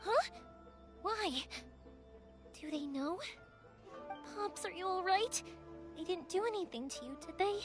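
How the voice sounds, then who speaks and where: Casting: spirited young female fireworks maker in a video game; very high, animated, expressive. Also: unhurried, pauses often, over music. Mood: worried.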